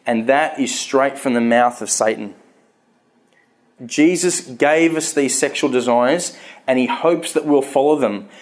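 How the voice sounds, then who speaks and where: A man's voice: mid-range voice, a little slow. A man in his thirties with a stutter, giving a candid, earnest personal talk on faith to a live audience, often restarting his words.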